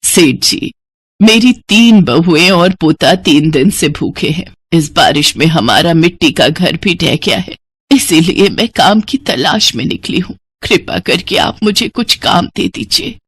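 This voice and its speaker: A man's voice: a little high, sing-song, unhurried, a bit bright, husky, heavily acted. A man voicing a worried, pleading old village mother-in-law in a moral story cartoon.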